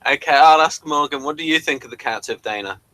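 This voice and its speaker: A man's voice: a little high, quick pace, pushed and bright, no room sound, poor audio. A man hosting a casual review discussion. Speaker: host of a review show.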